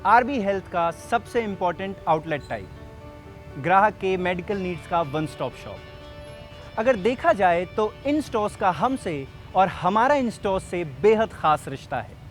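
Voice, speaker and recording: A man's voice: sits high, big pitch swings, measured pace, soft background music. A man playing an earnest, persuasive sales rep, explaining things directly to the listener in a scripted training film. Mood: explanatory, upbeat. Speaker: presenter and sales representative. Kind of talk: scripted training film narration and role-play.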